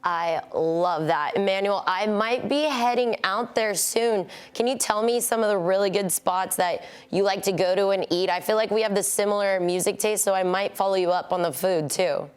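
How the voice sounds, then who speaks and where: A woman's voice: medium pitch, moderate pace, few pauses, smooth. A woman hosting a morning football show, chatting in a cheerful, casual way. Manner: cheerful, laughs often. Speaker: show host. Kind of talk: casual sports talk.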